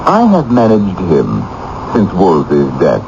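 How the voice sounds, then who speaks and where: An actor playing a shrewd, stubborn royal minister in a radio drama, measured and formal. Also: male voice, sits low, wildly swooping pitch, creaky, full character voice. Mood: defiant, grave. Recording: muffled, noisy old radio broadcast.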